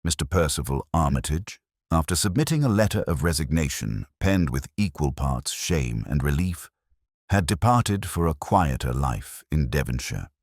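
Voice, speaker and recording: A man with an extremely deep voice narrates a detective tale in a measured, literary way. His delivery swings widely in pitch for dramatic effect. The sound is dry and close, as in an audiobook. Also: big pitch swings, darkish tone, no echo. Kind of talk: scripted dramatic narration of a Victorian detective story.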